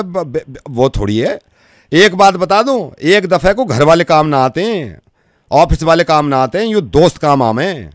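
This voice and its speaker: Guy doing a folksy pushy pal, super lively pitch, dry sound, prank call. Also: no room sound. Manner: insistent, rustic dialect. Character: pushy, folksy male buddy offering help.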